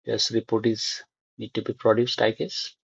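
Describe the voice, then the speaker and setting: A man giving an unscripted screen-share software tutorial, thinking aloud in short, halting fragments. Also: on the low side, flat delivery, creaky, breathy edge, no room sound, muffled recording.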